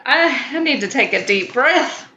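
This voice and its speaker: A woman's voice: high voice, expressive, a little slow, sharp tone. Woman podcaster in a muffled, echoey room. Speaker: podcast co-host. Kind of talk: casual comedic chat. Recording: muffled, roomy, faintly noisy home recording.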